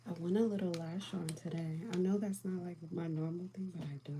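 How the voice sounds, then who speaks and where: A calm, soothing woman chatting casually with viewers as she does her makeup, often dropping to a whisper. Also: fairly low pitch, a little fast, very noisy. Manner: calm, soothing, soft-spoken; often drops to a whisper while applying makeup. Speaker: beauty livestream host.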